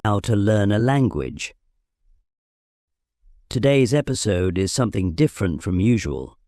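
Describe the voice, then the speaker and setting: Low-voiced male teacher reading a scripted lesson, calm and explanatory, dry close recording. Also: unhurried, no echo. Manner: calm, explains and defines words. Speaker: language teacher. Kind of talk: scripted podcast narration.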